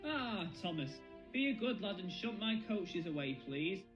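A man's voice: high-pitched, sing-song, a little slow, muffled recording. A man voicing a haughty, vain express engine in a cartoon. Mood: condescending. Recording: music behind the voice.

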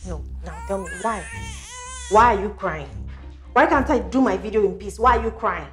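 A woman's voice: on the high side, very animated, takes it slowly, a bit bright, full character voice. An actress playing a callous young woman vlogger who taunts and scolds in a mock-sweet, scornful way. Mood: scolding. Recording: echoey room with faint music.

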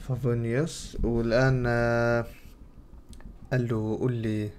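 A man's voice: medium pitch, level tone, takes it slowly, a little mellow. Casual young Levantine man over noisy game audio. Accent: Levantine Arabic. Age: young adult. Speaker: gaming streamer. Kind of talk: casual game commentary, loosely translating dialogue. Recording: game audio and noise behind voice. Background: video game sounds.

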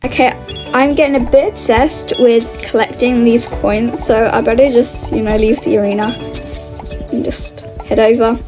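A woman's voice: a little high, steady tone, normal speed, dark tone, rough recording. A woman giving casual, chatty gameplay commentary, exclaiming and thinking aloud as she plays. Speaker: gaming video host. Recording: music under the voice.

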